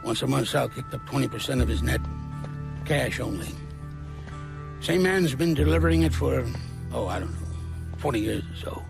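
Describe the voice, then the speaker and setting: Gravelly old mob boss. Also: male voice, mid-range voice, animated, over music. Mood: cool bargaining. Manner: measured, paternal, profane. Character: old, calm, menacing male mafia boss. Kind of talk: cinematic game acting.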